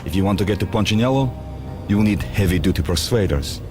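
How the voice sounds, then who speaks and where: Crime game gangster: genial arms dealer, lowish pitch, theatrical and wisecracking, music behind. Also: male voice, animated, quick pace. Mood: businesslike, scheming. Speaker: game character. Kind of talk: video game acting.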